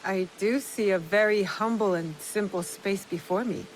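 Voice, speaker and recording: A woman's voice: medium pitch, normal speed, slight background noise, close to an everyday voice, poor audio. A woman playing a composed, earnest female mercenary in a feudal Japan game, reserved and plain-spoken.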